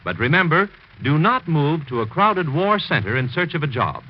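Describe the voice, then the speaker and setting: A man's voice: on the high side, big pitch swings, normal speed. An American man announcing in a formal, emphatic, scripted 1940s radio style with a polished sales delivery. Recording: muffled, faintly noisy 1940s radio broadcast.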